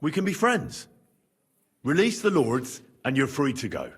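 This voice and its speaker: Firm, level-headed rebel negotiator. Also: male voice, a little high, sing-song, measured pace, breathy edge, heavily acted. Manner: firm, placating.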